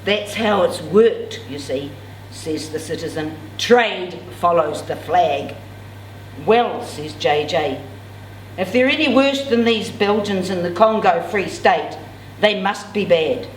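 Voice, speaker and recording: A woman's voice: lowish voice, lively intonation, slow pace. A woman in her sixties reading a novel aloud expressively, with a theatrical, declamatory touch to the dialogue. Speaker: amateur reader at a literary event. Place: indoors, in a function room. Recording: roomy, distant mic, clear background noise.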